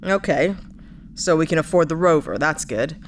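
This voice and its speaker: Dry, cynical starship captain. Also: female voice, low-pitched, animated, normal speed, slight background noise. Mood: practical. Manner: wry, sarcastic, no-nonsense. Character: dry, cynical, practical female spaceship captain. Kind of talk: sci-fi audio drama acting.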